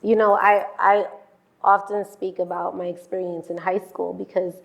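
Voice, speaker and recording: A woman hosting a casual interview, relaxed and quick to answer with "yeah" and "mm-hmm". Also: mid-range voice, gravelly. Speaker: podcast host.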